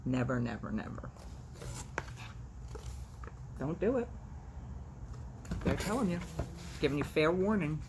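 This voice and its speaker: A woman's voice: lowish voice, slight background noise. A woman hosting a casual read-aloud of a children's book, chatting and narrating with wry, irreverent asides. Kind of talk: casual vlog chat and animated storybook read-aloud.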